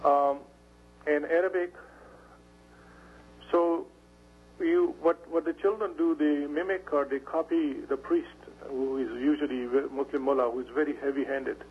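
A man, a guest in a radio interview, talking in halting phrases with many fillers and restarts. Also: on the high side, fairly even intonation. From Pashtun area of Pakistan. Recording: dull radio broadcast with faint hum.

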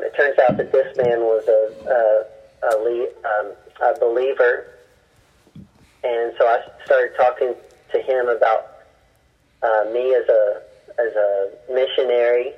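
Husky-voiced missionary man telling his story. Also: mid-range voice, dull audio. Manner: earnest, halting with many restarts. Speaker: missionary, interview guest. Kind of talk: personal storytelling in an interview.

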